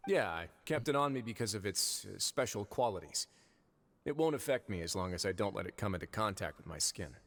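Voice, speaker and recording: A low-voiced, composed game duke. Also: male voice, lively intonation, background noise. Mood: casual, assured. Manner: measured, composed, dryly wry. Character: calm, shrewd, wry male authority, a duke running a prison. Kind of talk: video game voice acting.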